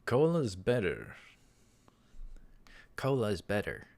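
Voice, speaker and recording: A man's voice: fairly low pitch, wildly swooping pitch, slow, creaky, darkish tone, heavily acted. A man reading a visual novel aloud, voicing a grandiose young mad scientist in a pompous, theatrical manner. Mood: pompous, admiring.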